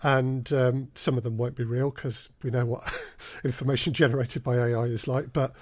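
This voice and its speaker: A man with a mid-pitched voice talks as an interview guest. He explains in a measured, conversational way, often repeating words as he gathers his thought. The sound is very dry. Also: flat delivery, no echo. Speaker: guest, audio drama producer. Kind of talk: casual podcast interview.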